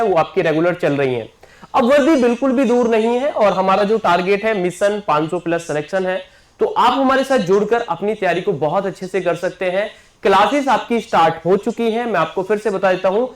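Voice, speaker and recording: A man, a coaching teacher announcing an exam batch to students, repeating himself and often checking in with them. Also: high-pitched. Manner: repetitive, keeps checking in with listeners. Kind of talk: promotional class announcement.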